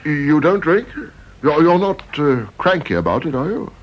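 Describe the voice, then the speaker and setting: An actor playing a probing, urbane British security official in a radio drama, insinuating and pedantic in manner. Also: male voice, a little high, sing-song, normal speed. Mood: sceptical, probing. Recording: muffled old radio recording.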